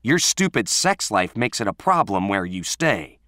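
A man's voice: a little high, big pitch swings, slow pace, husky, dry recording, studio quality, voice pushed up, exaggerated voice. A man voicing a spiteful, hot-headed teenage girl who hollers confrontationally in an audiobook story. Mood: furious, taunting.

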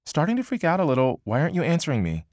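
Audiobook: man doing a high, swooping, pushy young woman, close and dry. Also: wildly swooping pitch, a little mellow, no room sound. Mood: agitated. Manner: quick, emphatic, swearing freely. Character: brash, excitable young woman, pushy and gossipy. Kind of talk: audiobook character voice.

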